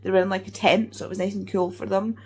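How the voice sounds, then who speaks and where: A woman with a hoarse, congested voice, chatting casually in a rambling way as a book vlogger. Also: mid-range voice, level tone, quick pace, a little mellow. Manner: rambling, chatty, many fillers. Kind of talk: casual vlog chat.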